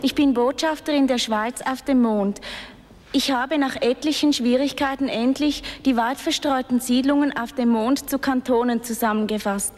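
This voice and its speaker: A woman introducing herself in a deadpan, mock-serious way as a game show contestant. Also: high-pitched. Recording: reverberant TV studio with audience.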